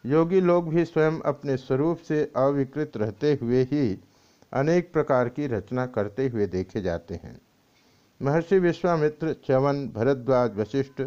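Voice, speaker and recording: A man reading scriptural commentary and verse aloud in a deliberate, measured way with pauses. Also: mid-range voice, darkish tone. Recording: dull lo-fi recording.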